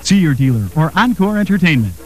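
A man's voice: fairly high pitch, sing-song, fast. A man reading scripted promotional narration as an announcer. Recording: loud music under the voice.

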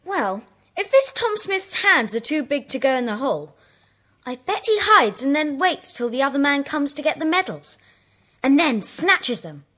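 A woman's voice: sits high, big pitch swings, moderate pace, a bit bright. A woman playing a keen, clever schoolchild in a children's audio drama, eagerly reasoning.

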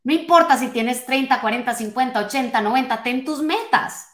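A woman's voice: high voice, normal speed, fairly bright tone. A woman of forty-nine giving an emphatic motivational talk as a coach, addressing listeners directly with rhetorical questions and check-in tags. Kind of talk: motivational talk to an online live audience. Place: small home office, indoors. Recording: roomy, echoing indoor sound.

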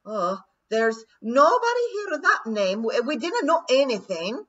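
A woman voicing a fierce, cocky little male pixie chieftain with a put-on Scottish accent, loud and gruff. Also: a little high, sing-song, measured pace, pitched above the performer's own voice, exaggerated voice. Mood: desperate. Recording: dull lo-fi recording.

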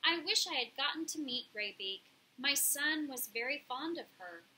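A woman's voice: sits high, lively intonation, sharp tone, full character voice, poor audio. A woman voicing a polite, earnest female robot mother in a storybook, speaking with formal, carefully worded phrasing. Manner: formal, measured wording without contractions.

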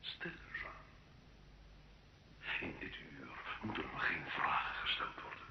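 An actor playing a rough, loyal soldier, urgent and imploring, in the theatrical manner of an old radio drama. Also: male voice, a bass voice, lively intonation, slow, bright, forceful tone, whispered, exaggerated voice. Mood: conspiratorial. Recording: dull, roomy old radio recording with noise.

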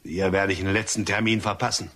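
A cynical, scheming male killer from a crime radio play, played by an actor. His voice sits low and has a husky grain. He talks in a sardonic, calculating way. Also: little pitch movement, brisk, bright, forceful tone. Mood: cold, sardonic. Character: cold, cynical male blackmail victim turned calculating killer.

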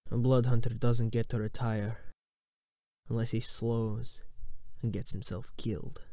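A man's voice: mid-range voice, flat delivery, unhurried, dark tone, no echo, studio quality, natural voice. A man voicing a grim, weary older loner, a fantasy monster hunter, in a subdued, brooding manner. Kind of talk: fantasy character voice demo.

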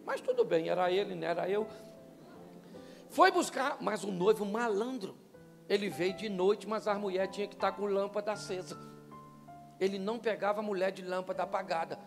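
A man's voice: high voice, normal speed, creaky. An older man with a Brazilian Portuguese accent, preaching a humorous talk to couples on a church stage with a handheld mic. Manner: joking, animated, asks the crowd questions. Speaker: pastor. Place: church stage, large hall. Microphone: handheld microphone. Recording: live church hall with long reverb and faint music.

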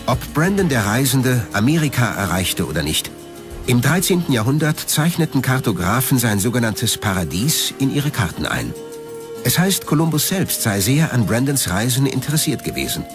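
Guy narrating a documentary, lively intonation, music under it. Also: mid-range voice, normal speed. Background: background music.

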